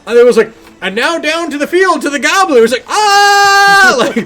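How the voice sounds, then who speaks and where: A man co-hosting a gaming podcast, talking casually and with animated enthusiasm about games. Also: very high-pitched, moderate pace, over music. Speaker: podcast co-host.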